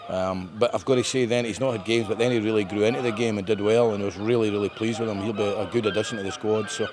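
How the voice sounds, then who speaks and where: A man's voice: on the low side, steady tone, fast talker, a crowd in the background. A Scottish man in his fifties, a football manager speaking upbeat and rambling after a win. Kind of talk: post-match interview. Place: bare indoor room with painted brick walls.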